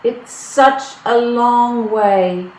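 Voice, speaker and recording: A woman's voice: a little high, very slow, a little mellow. A woman acting a timid, anxious young Irish woman in a play reading, hesitant and plaintive, recorded in an echoey room. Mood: wistful, pleading.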